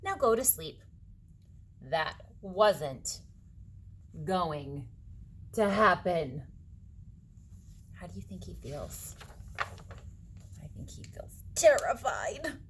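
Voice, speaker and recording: A woman reading a picture book aloud to children, dramatically, with hushed suspenseful moments and asides to her young listeners. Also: sits low, a little hiss or hum. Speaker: read-aloud storyteller for children.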